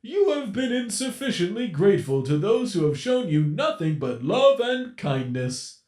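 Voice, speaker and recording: A man voicing a menacing animatronic captor in a storybook reading, talking in a slow, mocking, theatrical drawl. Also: high voice, big pitch swings, a little mellow, reverberant, pitched above the performer's own voice. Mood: judging. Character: menacing male animatronic captor, gleeful and cruel.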